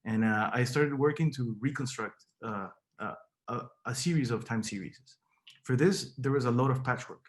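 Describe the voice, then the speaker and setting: A man's voice: mid-range voice, normal speed. Man, Peruvian Spanish accent, young adult, academic seminar, hesitant, repeats words. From Peru. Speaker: PhD candidate giving a seminar.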